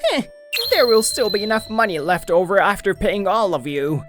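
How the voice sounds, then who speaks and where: A woman's voice: medium pitch, lively intonation, normal speed, music in the background, lightly acted. A woman voicing a cowardly, scheming male company boss who stammers and whines. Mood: smug. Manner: stammering, whiny, then smug. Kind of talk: manga video voice acting.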